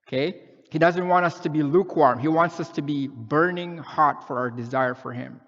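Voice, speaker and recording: A man from the Philippines preaches in a relaxed, conversational sermon style and keeps checking in with his listeners. His voice sits a little higher than most men's. The recording is dull and muffled, with a long hall reverb trailing behind his words. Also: moderate pace. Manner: conversational preaching, frequent "okay?" and "right?" check-ins. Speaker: pastor.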